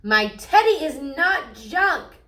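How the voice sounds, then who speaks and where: A woman voicing an indignant little girl bear cub in a storybook, protesting loudly. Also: high-pitched, sing-song, slow pace, echoey room, voice pushed up, exaggerated voice.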